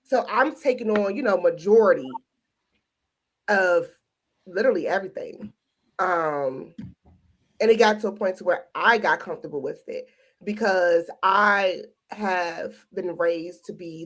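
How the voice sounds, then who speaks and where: A woman co-hosting a podcast, talking candidly in a casual chat and acting out quoted remarks. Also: on the low side. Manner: candid, storytelling with quoted asides. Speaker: podcast co-host.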